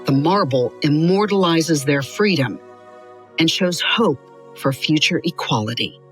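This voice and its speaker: Woman, scripted kids' storytelling, expressive, animated intonation, soft background music. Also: deep voice, unhurried. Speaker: podcast narrator. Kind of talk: scripted storytelling narration for children. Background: music under the voice.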